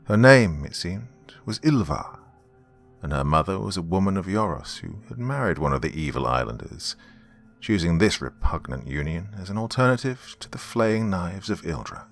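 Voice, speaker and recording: A man reads a scripted gothic horror tale as narrator, with a formal, measured storytelling delivery. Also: deep voice, sing-song. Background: background music.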